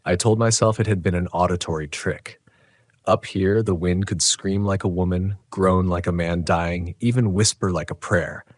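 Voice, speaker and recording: A man reading a scripted first-person horror story in a measured, dramatic way. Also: low voice, no echo. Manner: measured, dramatic, literary delivery.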